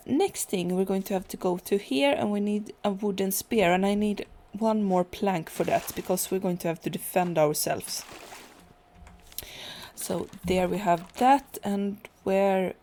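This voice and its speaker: A woman whose first language is Swedish talks through her gameplay in English. Her speech is relaxed and halting, full of fillers and restarts. Her voice sits at a medium pitch. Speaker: gaming channel host. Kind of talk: casual let's play commentary.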